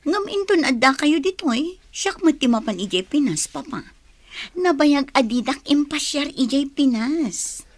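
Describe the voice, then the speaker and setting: A woman playing a willful grown daughter in an Ilocano Filipino radio drama, her voice slightly husky. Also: sits high, expressive, unhurried, no room sound. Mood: eager.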